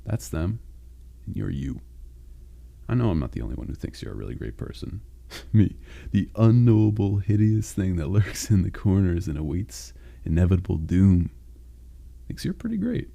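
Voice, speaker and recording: A man voicing a caring male sleep paralysis demon, speaking softly, with halting reassurance, in a comforting roleplay monologue. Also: sing-song, exaggerated voice. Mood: soothing. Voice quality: deep gravelly growl. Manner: soft, reassuring, halting and unhurried.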